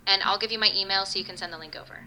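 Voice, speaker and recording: A synthetic voice playing a friendly female boutique owner with a strikingly bright, crisp-edged tone. She is polite, curious and agreeable, chatting conversationally as if taking a sales call. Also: mid-range voice, rapid-fire, slight background noise, poor audio. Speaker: AI voice assistant in a sales call role-play. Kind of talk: conversational role-play, playing a prospective customer.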